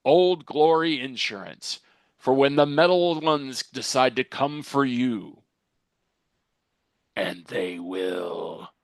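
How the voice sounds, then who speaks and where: A man playing an earnest older TV actor as a spokesman, reading a mock commercial with grave, deliberate emphasis. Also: dry recording. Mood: grave, ominous. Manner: grave, deliberate sales pitch with dramatic emphasis. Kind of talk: mock television commercial read.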